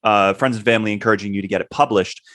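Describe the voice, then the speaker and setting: A man's voice: lowish voice, fairly even intonation, rapid-fire, fairly bright tone. A man co-hosting a podcast in casual chat, talking loosely with many fillers and self-corrections. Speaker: podcast co-host.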